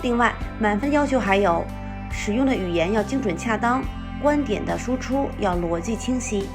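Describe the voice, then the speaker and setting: A woman teacher calmly explaining exam scoring in a scripted voice-over lesson, with music behind her. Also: medium pitch, moderate pace.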